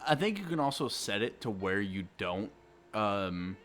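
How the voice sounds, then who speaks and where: A young man with a deep voice co-hosting a podcast in casual chat, loud and excitable, jumping between shouting and low mumbling. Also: unhurried. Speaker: podcast co-host. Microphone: desk USB microphone.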